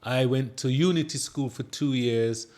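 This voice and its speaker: A man's voice: mid-range voice, measured pace, darkish tone. A man hosting a podcast interview, who speaks with a mixed accent that is partly Jamaican and talks in a chatty way with frequent restarts. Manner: chatty, stammering restarts, switches into patois. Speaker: podcast host. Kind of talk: casual interview.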